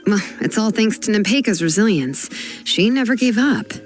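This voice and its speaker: A woman's voice: mid-range voice, lively intonation, normal speed, music in the background, lightly acted. A woman playing a confident, level-headed young woman in a video game, blunt and protective, with a firm, matter-of-fact delivery. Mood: approving.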